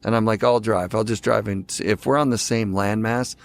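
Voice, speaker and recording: A man's voice: fairly low pitch, fairly even intonation. A man hosting a podcast, chiming in eagerly and asking loose, friendly questions. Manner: eager, chimes in with quick agreement. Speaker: podcast host. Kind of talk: casual interview chat.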